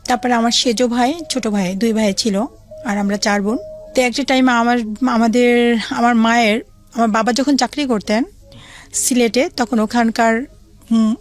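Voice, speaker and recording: A woman's voice: fairly high pitch, steady tone, normal speed, soft background music. A middle-aged woman with a Bangladeshi accent, telling her life story conversationally as a guest and quoting remembered dialogue as she goes.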